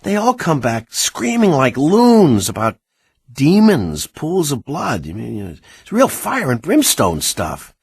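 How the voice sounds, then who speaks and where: An actor playing an uneasy medical technician confiding in an informal audio log for a video game. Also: male voice, a little high, huge pitch swings, a little slow, slightly rough, no room sound, clean studio sound, heavily acted. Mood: uneasy, unnerved. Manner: colloquial, uneasy, hesitant asides.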